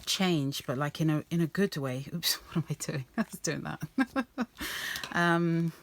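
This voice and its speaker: A woman rambling softly with many ums and restarts as she works on an art journal page, her voice a little croaky. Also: deep voice, unhurried, a little hiss or hum. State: slightly croaky voice. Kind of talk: casual craft-along chat.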